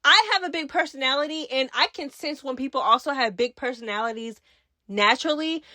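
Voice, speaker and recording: A woman's voice: sits high, sharp tone, no echo, studio quality. A woman giving casual gossip commentary as a channel host, speaking in a sassy, emphatic, slangy way. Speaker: host of a reality TV recap channel.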